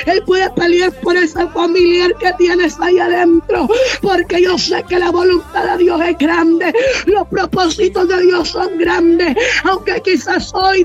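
A woman leading a fervent intercessory prayer, weeping as she cries out and bursts into tongues. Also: very high voice. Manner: tearful, crying out, bursts into tongues. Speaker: prayer leader. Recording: dull lo-fi with faint music.

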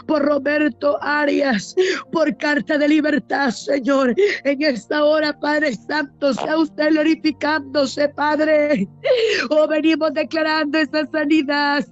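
Casting: high-voiced Pentecostal preacher, pleading and repetitive, emotional and near tears, narrow melodic range, dull lo-fi sound. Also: creaky. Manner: pleading, repetitive, declarative exhortation. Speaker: preacher leading a healing prayer. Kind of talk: fervent Pentecostal intercessory prayer. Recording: dull lo-fi audio.

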